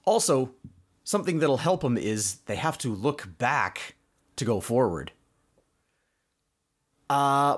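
A man's voice: medium pitch, slightly rough. A man hosting a podcast, casually recapping a cartoon episode in a conversational way with explanatory asides. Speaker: podcast host.

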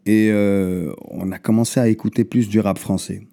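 A man in his thirties who grew up in Morocco, a guest telling his story in a relaxed way and often tagging his lines with "tu vois". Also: fairly low pitch, moderate pace, vocal fry. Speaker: guest rapper. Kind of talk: casual interview storytelling.

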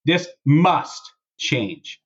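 A man with a husky voice hosting a casual podcast, chatting and reading posts aloud in a wry, sarcastic way. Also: a little high, sing-song, drawn-out words, a bit bright, dull audio. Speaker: podcast host.

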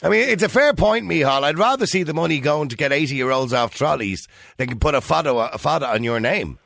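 A man's voice: a little high, fairly quick, gravelly, no room sound. Irish radio host guy, bouncy voice, keeps jumping in, dry studio. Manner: lively, challenging, jumps in to steer the talk. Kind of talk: talk radio phone-in hosting.

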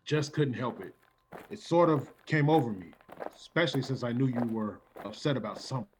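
An actor playing a forward, blunt suitor in a classic radio play, theatrical and sometimes stammering. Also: male voice, mid-range voice, a little fast, a little mellow, a little hiss or hum, natural voice, rough recording. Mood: courting. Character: brusque, forward male suitor courting a young woman. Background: music behind the voice.

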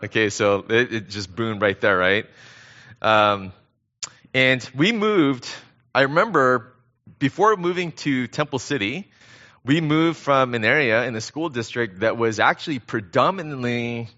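Bright-voiced American pastor, slightly muffled recording. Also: male voice, medium pitch, animated, measured pace. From born in Korea, grew up in Southern California. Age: middle-aged. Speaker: guest pastor. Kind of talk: conversational sermon.

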